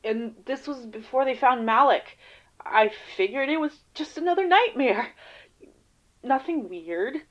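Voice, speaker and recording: A haunted field agent with a fairly high voice. She is weary and guarded, talking in halting, casual phrases as in an audio drama. The recording is a little dull. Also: expressive. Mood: defensive. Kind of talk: audio drama interview acting.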